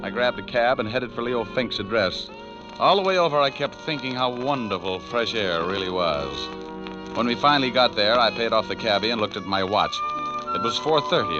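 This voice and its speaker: A man's voice: lowish voice, a little fast, over music, lightly acted. A man playing a wisecracking, sardonic New York private detective in a 1940s radio drama, hardboiled and flippant. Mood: wry narration. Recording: muffled old radio broadcast recording.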